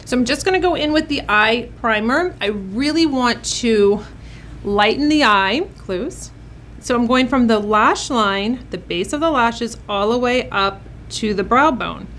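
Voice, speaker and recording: A woman's voice: on the high side, animated, measured pace, fairly bright tone, a little hiss or hum. A woman hosting a makeup tutorial, speaking to her viewers in an upbeat, encouraging way. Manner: upbeat, encouraging pep-talk delivery. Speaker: host, makeup artist. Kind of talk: beauty tutorial vlog, addressing viewers.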